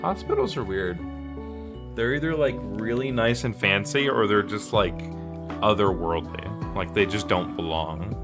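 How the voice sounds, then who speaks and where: A man's voice: on the low side, unhurried, music in the background. A man talking casually to viewers as a live streamer. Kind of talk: casual livestream chat.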